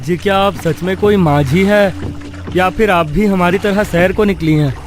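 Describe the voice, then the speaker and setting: A man's voice: sits high, moderate pace, over music, voice pushed up, close to an everyday voice. A man voicing an easygoing, teasing young village boyfriend in an audio horror story. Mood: curious.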